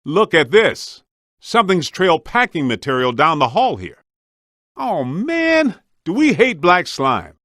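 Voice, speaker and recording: An actor playing a streetwise, wisecracking ghost hunter in a video game, practical and exasperated, with casual, slangy, punchy delivery. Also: male voice, a little high, wildly swooping pitch, unhurried, bright, forceful tone, no echo, clean studio sound, full character voice. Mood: matter-of-fact.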